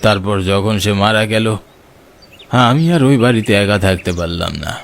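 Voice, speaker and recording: A man's voice: on the low side, unhurried, gravelly, a little hiss or hum. A man voicing a grave, elderly widower in a horror cartoon. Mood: grave, sombre. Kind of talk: cartoon horror drama dialogue.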